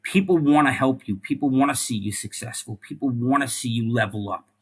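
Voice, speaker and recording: A man's voice: medium pitch, fairly quick, breathy edge. A middle-aged man, a former police officer and firefighter, talking as a podcast guest in an earnest way, often repeating words and adding "like" and "right?". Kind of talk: casual interview conversation.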